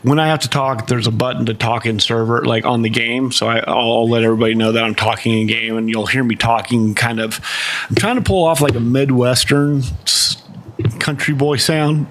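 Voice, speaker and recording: Male streamer, casual rambling explainer, mid-pitch voice, lots of fillers. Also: steady tone, fairly quick, fairly bright tone. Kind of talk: casual live-stream chat.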